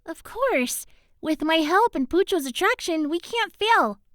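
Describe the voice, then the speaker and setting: A woman's voice: very high voice, animated, a little slow, a bit bright, dry recording, clean studio sound, exaggerated voice. A woman voicing a seductive, scheming female succubus in a fan audio drama, talking in a coaxing way. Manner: coaxing, sultry.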